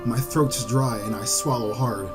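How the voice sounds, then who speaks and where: A man hosting a visual novel let's play, reading aloud and commenting in a halting way with repeated phrases, over game music. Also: mid-range voice, fairly even intonation. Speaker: gaming video host. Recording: music behind the voice.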